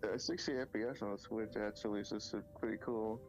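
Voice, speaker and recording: A man's voice: lowish voice, fairly even intonation, fast talker, over loud music. A young man chatting casually about games in a slangy, rambling way, on a dull recording. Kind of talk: casual gaming chat.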